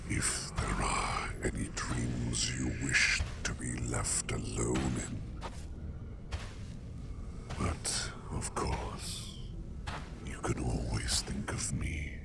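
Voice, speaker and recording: An extremely deep, crackling sleep demon, murmurs in a courteous, gently eerie ASMR roleplay. He draws out formal phrases at a glacial pace. Heavy ambient noise sits behind the voice. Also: exaggerated voice. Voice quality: very deep, gravelly, breathy half-whisper. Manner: measured, formal, drawn-out phrases with long pauses. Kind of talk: slow whispery ASMR roleplay.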